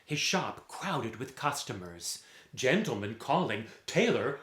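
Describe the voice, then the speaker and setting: A man's voice: mid-range voice, big pitch swings, a bit bright, echoey room. A man telling a folk tale as a theatrical storyteller, dramatic and rhythmic, drawing out repeated phrases. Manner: dramatic, rhythmic with drawn-out repetitions.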